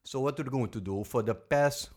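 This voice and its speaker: A man's voice: medium pitch, normal speed, a little mellow. A Dutch man co-hosting a podcast in English, explaining ideas earnestly and leaning often on "basically". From Netherlands. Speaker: podcast co-host. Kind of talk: casual podcast talk.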